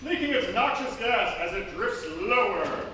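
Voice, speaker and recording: Guy doing pompous radio serial narrator, swoopy high voice, distant mic in noisy hall. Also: very animated, unhurried, full character voice. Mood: dramatic. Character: dramatic serial announcer with dry comic pomp. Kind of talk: scripted radio serial narration before an audience. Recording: echoey live theatre, distant mic, noisy.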